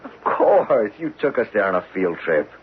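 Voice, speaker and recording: An actor playing a keen, earnest geologist in a 1970s radio drama, with an animated manner. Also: male voice, lowish voice, normal speed. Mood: thoughtful. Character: keen, earnest male geologist on a drilling crew. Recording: muffled, noisy old radio broadcast.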